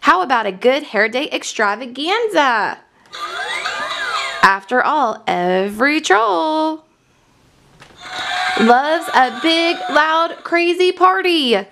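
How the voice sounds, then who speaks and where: Expressive, high-voiced woman reading to children. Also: slow pace, pauses often, noisy background. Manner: excited, expressive, enunciating for children. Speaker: storyteller presenting a children's read-aloud. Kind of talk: children's storybook read-aloud.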